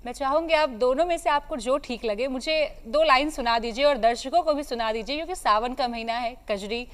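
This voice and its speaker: A woman's voice: high voice, brisk. A woman with an Indian accent hosting a broadcast interview, polite and appreciative, putting long questions to a guest. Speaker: TV interview host.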